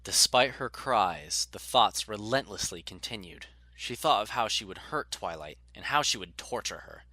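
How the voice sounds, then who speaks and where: A man gives a dramatic, scripted audiobook narration. His voice is fairly low, with a bright, forceful edge. The sound is dry, with no room to it. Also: lively intonation, normal speed, no echo.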